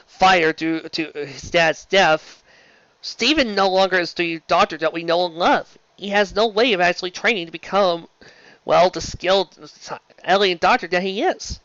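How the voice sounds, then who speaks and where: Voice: mid-range voice, normal speed, fairly bright tone, no room sound, highs cut off. A show host giving a casual, rambling fan review in a gushing, emphatic way, often tripping over words and restarting. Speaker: host of a fan fiction live-read show.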